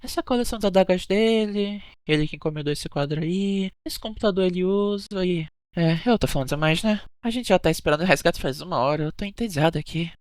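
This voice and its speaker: A woman playing a touchy, evasive cruise hostess in an animated mystery, bickering and chatty, with a Brazilian accent. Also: lowish voice, fast talker, few pauses, dry recording, studio quality, natural voice. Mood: casual, chatty. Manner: defensive, gossipy, bickering. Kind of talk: animated murder-mystery dialogue.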